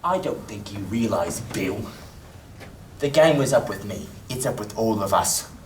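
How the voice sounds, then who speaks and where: An actor puts on a greedy old ringleader of thieves for a staged radio play. The pitch swings widely and excitably. The sound is roomy and distant, with faint noise. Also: unhurried, close to an everyday voice. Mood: sly, menacing.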